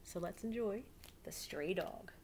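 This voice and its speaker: A middle-aged woman reads aloud expressively like a teacher, pausing to prompt young listeners. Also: mid-range voice, a little mellow. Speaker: teacher reading a picture book aloud to pupils. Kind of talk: read-aloud storytelling with questions to children. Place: small living room indoors. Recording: clearly noisy home webcam recording.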